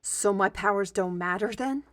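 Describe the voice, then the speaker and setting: An actress plays a proud woman steward from a fantasy audio drama. Her medium-pitched voice is theatrical and sharp-tongued, quick to sarcasm. The sound is dry. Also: dry recording, close to an everyday voice. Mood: indignant, sarcastic.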